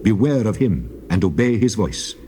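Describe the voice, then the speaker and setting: Deep, commanding voice of God. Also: male voice, very animated, moderate pace, mellow. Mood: solemn, commanding. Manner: solemn, authoritative, declamatory. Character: God of Israel, male, commanding, solemn lawgiver. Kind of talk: dramatized scripture reading in archaic English. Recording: clearly noisy old cassette tape.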